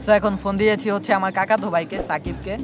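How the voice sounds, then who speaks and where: High-pitched man, casual prank-call vlogger. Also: fairly even intonation, racing, music in the background. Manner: addresses viewers directly, informal. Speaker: vlogger making prank calls. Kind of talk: casual vlog chat and phone pranks.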